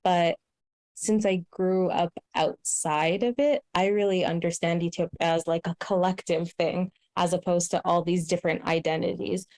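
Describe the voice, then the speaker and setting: Low-voiced Canadian woman, thoughtful talk. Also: steady tone, normal speed, darkish tone, no echo, studio quality. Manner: thoughtful, many fillers and restarts. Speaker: host. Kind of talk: casual conversation.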